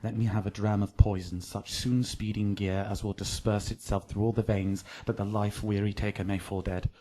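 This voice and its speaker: Man as deep-voiced, desperate young lover. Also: little pitch movement, moderate pace, mellow, natural voice. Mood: bitter. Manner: intense, commanding declamation. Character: passionate, desperate young nobleman in love. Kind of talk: Shakespearean radio drama acting.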